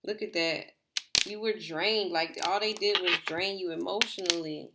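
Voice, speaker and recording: A woman giving a rambling, casual tarot reading to her viewers, her voice slightly hoarse. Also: lowish voice, fairly even intonation, moderate pace, very noisy. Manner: rambling, repeats herself, filler "like". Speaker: tarot reader.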